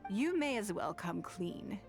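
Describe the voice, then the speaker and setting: A woman playing a coolly shrewd, commanding noblewoman official in a video game, speaking in a measured, formal, authoritative way. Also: medium pitch, big pitch swings, creaky, faint music behind. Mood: confident, challenging.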